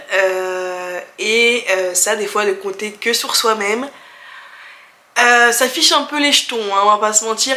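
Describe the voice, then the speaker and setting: Young French woman vlogger, twenties, bright tone, casual and candid Q&A delivery, roomy echo. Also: medium pitch. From France. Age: 20s. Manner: candid, chatty, many fillers and restarts, laughs easily. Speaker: travel vlogger. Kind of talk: casual chat answering viewer questions.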